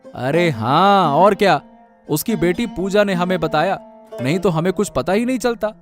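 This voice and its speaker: Man as cartoon village uncle, fairly high voice, very animated intonation, righteous, protective. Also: normal speed, soft background music. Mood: earnest. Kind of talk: Hindi cartoon story dialogue.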